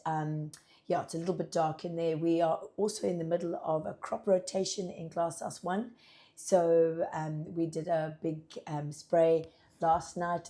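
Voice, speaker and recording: A husky, slightly croaky woman talks through a farm update in a chatty, upbeat way, with plenty of ums. Her voice sits a little low and sounds dark and mellow. She speaks from a pack house office. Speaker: farm labour manager. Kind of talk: casual informative vlog.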